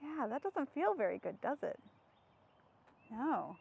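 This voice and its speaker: A woman leads an interactive read-aloud for small children. Her voice is high and swings widely as she poses simple questions and answers them herself. The recording is slightly muffled. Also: sing-song, slow pace, creaky, darkish tone, husky. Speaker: children's storytime host.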